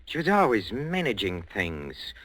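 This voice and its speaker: An actor playing a meek, henpecked middle-aged professor who stammers hesitantly, in 1940s radio style. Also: male voice, on the low side, wildly swooping pitch, unhurried, a bit bright, full character voice. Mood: brooding, bitter. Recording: vintage radio drama recording.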